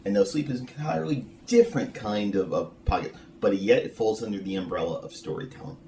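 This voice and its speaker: Male podcast interviewer, lowish pitch, casual and meandering, recorded a bit distant from the mic. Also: fast, warm tone. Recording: noisy room, slightly off mic.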